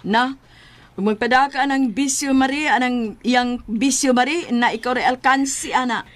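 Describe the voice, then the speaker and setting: A woman's voice: sits high, normal speed, a bit bright. A woman playing a frank, gossipy friend who gives blunt advice in a chatty comic drama style. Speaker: character in a comedy skit. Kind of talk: comic Filipino drama dialogue.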